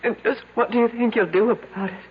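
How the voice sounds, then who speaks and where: A woman's voice: fairly high pitch, steady tone, fast, a little hiss or hum, close to an everyday voice. An actress playing a weak, despairing young woman trapped and fatalistic, speaking faintly in old-time radio drama style. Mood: bitter resignation. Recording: muffled lo-fi old radio broadcast.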